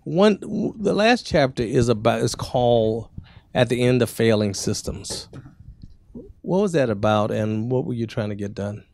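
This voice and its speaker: A man moderating a bookstore book talk, asking the author brisk, businesslike questions. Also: medium pitch, lively intonation, creaky, darkish tone. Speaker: event moderator. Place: bookstore event space.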